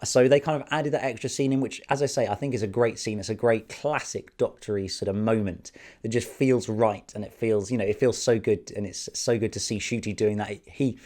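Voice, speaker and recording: A man talking through a review in a loose, excited ramble, full of fillers and restarts. His voice sits somewhat low and sounds hoarse from a cold. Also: fairly quick. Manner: enthusiastic, chatty, many fillers. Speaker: video reviewer. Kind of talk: casual spoken review.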